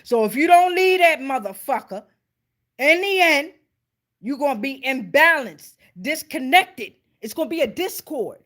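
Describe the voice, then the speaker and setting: A woman with an American accent and a fairly high voice hosts a tarot reading. She speaks informally and bluntly, swearing freely and stressing her points. She keeps checking that the listener is following along. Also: expressive, a little slow, fairly bright tone. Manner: blunt, foul-mouthed, emphatic; checks in with listeners with repeated tag questions. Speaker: host and tarot reader.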